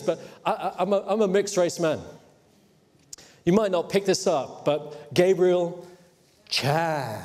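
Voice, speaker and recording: A man's voice: on the high side, a little mellow. A man from Hong Kong preaching a sermon emphatically, teasing his congregation at times. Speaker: pastor. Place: church. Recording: long hall reverb.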